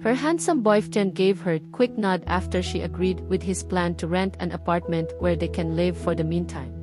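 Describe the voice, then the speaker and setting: A woman's voice: fairly low pitch, normal speed, music in the background. A woman with a Filipino accent reading a scripted romance novel as an audiobook narrator.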